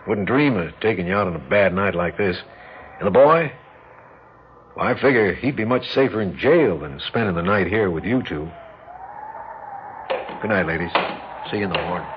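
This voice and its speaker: Rural Southern lawman, deeper register, easygoing and courteous with a point, noisy vintage radio recording. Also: expressive, moderate pace, long pauses. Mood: wry. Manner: easygoing, folksy, polite but pointed. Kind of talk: 1960s radio drama acting. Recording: dull, slightly noisy 1960s radio recording.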